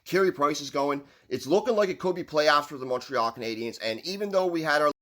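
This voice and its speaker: A man's voice: fairly high pitch, expressive, brisk, a bit bright. A man with a Newfoundland accent, giving upbeat, rambling hockey commentary on his own channel. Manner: earnest, upbeat, rambling with restarts. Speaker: hockey vlogger.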